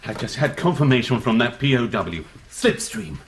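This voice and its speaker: An actor playing a ruthless, impatient British army intelligence major in a radio drama, with a clipped British accent. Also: male voice, mid-range voice, very animated, moderate pace, gravelly, slight background noise. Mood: urgent.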